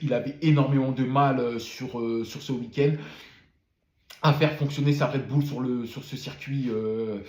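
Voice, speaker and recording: A man's voice: medium pitch, steady tone, moderate pace, a little mellow, roomy sound. A man who has a cold gives a casual spoken debrief of a motor race, full of fillers and repeated words. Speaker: F1 commentator.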